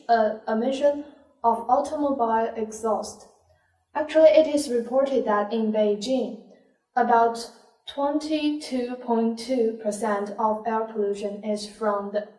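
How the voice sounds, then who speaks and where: Young chinese student girl, higher voice, reads out figures carefully, far from the mic in an echoey room. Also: steady tone, a little slow, warm tone. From China. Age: young adult. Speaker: first-year student. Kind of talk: reading prepared figures.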